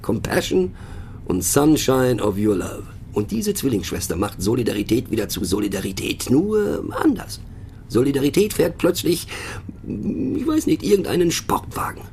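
An actor playing a pensive, mocking narrator in a radio play, musing rhetorically on abstract words and addressing the listener in wry asides. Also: male voice, medium pitch, wildly swooping pitch, normal speed, creaky, a little mellow, slight background noise. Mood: mock enthusiastic.

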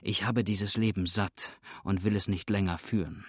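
A man's voice: low voice, fairly even intonation, moderate pace, breathy edge, dry recording, studio quality. A man voicing a hunted, ruthless outlaw in an audiobook, grim and threatening, with a sneering edge. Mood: bitter, weary. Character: hunted, ruthless male fugitive outlaw, exhausted and menacing. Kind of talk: audiobook character acting.

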